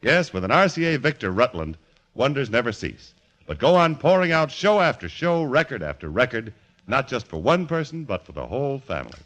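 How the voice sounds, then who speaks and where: Male vintage radio announcer: bright, forceful, swooping intonation, polished and emphatic pitchman delivery. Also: sing-song, fairly quick, poor audio. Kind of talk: 1940s radio announcing, scripted ad read.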